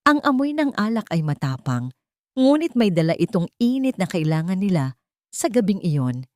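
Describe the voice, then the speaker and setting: A woman's voice: medium pitch, sing-song, normal speed, a little mellow, no echo, studio quality. A woman with a Filipino accent narrating a dramatic scripted love story.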